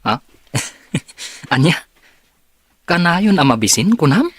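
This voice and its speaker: A man's voice: fairly high pitch, sing-song, takes it slowly, slightly rough, no room sound, heavily acted. A man acting an inquisitive, skeptical young man in an Ilocano audio drama. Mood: surprised. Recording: background music and crowd sounds.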